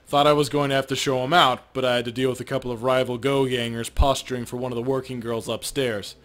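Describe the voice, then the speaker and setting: A man's voice: medium pitch, flat delivery, fast talker, fairly bright tone. A man co-hosting a let's play, chatting casually and reading game text aloud.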